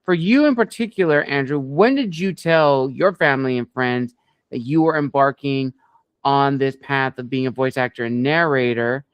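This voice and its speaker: A man hosting an interview, framing his questions in a polite, deliberate and wordy way. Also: mid-range voice, clear, resonant tone, no echo. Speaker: interviewer, show host.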